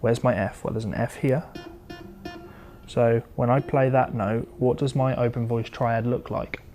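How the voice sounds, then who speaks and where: A man's voice: mid-range voice, fairly even intonation, a little slow, dark tone. A man giving a guitar lesson, explaining step by step in a conversational, instructional way. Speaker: guitar teacher. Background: electric or acoustic guitar playing.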